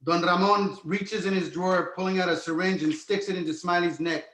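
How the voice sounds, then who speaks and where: Echoey, higher-voiced male screenplay narrator. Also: fairly even intonation, fairly quick, fairly bright tone. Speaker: stage-direction narrator. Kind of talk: scripted narration for a screenplay table read. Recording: roomy, echoey home recording.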